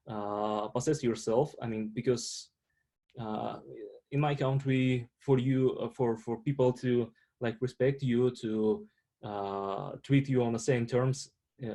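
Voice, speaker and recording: Young man, Russian accent, mellow low-key voice, halting panel answer. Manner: hesitant, many fillers and restarts. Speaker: student panelist.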